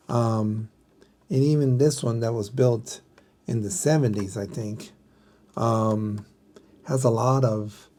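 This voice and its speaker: A middle-aged man giving a casual hands-on explanation in a halting manner, as if thinking aloud. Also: mid-range voice, vocal fry, warm tone. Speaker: vlogger showing a vintage radio.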